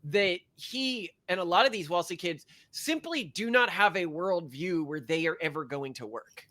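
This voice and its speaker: A man's voice: sits high, expressive, moderate pace, sharp tone. A man co-hosting a podcast, talking in a rambling, sarcastic way and reading facts off a list. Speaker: podcast co-host. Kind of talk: casual opinionated chat.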